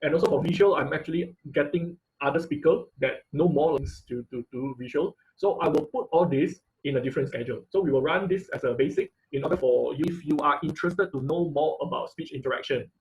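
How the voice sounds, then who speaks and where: A man's voice: a little high, a little fast, hardly any pauses, darkish tone. A man giving an informal live online technical class as instructor, often repeating words and rephrasing mid-sentence. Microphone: video call microphone. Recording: dull, lo-fi video call audio.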